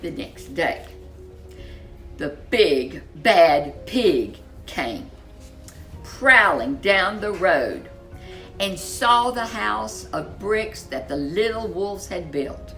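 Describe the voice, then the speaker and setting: A woman reading a children's story aloud as host, with expressive narration and dramatic, drawn-out emphasis on key words. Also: fairly low pitch, faint music behind.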